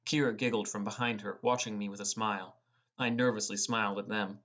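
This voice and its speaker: A man's voice: fairly low pitch, fairly even intonation, a little fast, a bit bright. A man reading first-person fantasy narration as an audiobook narrator. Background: music.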